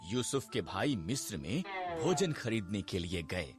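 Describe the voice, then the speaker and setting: A man narrating a scripted children's Bible story in a deliberate, dramatic storytelling manner. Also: lowish voice, big pitch swings, soft background music.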